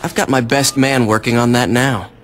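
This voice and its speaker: Actor as a touchy gang leader, medium pitch. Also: male voice, fairly even intonation, normal speed, slight background noise, close to an everyday voice. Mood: proud, prickly. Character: proud, touchy gang leader, adult man. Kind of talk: video game cutscene acting.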